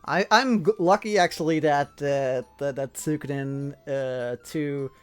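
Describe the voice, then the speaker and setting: A man interviewing someone in casual chat, speaking haltingly and repeating words as he goes. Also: on the high side, smooth, soft background music.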